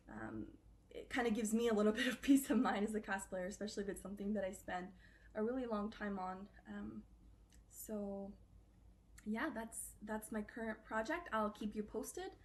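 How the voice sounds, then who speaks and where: A woman's voice: mid-range voice, slight background noise. A woman cosplayer chatting casually in an interview, loose and full of fillers.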